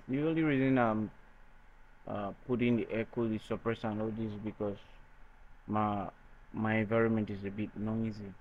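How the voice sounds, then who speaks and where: A man presenting a software tutorial in a halting way, often repeating his phrases. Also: on the low side. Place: noisy room. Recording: dull lo-fi microphone sound.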